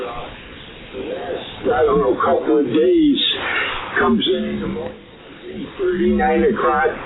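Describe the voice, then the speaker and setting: A man's voice: extremely high pitch, creaky. Man on noisy two-way radio. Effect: two-way radio transmission. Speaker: amateur radio operator. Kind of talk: casual radio chat. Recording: tinny radio, heavy noisy chatter.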